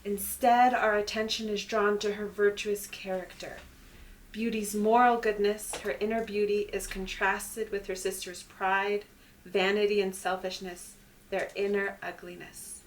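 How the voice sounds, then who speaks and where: Mid-pitched woman panelist, faint noise behind. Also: level tone, unhurried, poor audio. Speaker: podcast panelist. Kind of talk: conversational discussion with some reading aloud.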